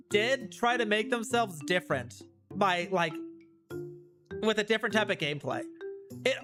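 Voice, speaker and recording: A man hosting a livestream, giving casual, chatty gaming commentary that rambles and corrects itself, speaking into a close microphone. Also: sits high. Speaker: livestream host. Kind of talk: casual gaming commentary, reviewing and ranking games. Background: background music.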